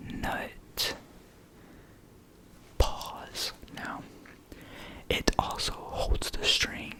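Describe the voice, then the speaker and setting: A person whispering an informal ASMR guitar lesson, hesitating and repeating key words. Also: rough recording. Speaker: guitar explainer.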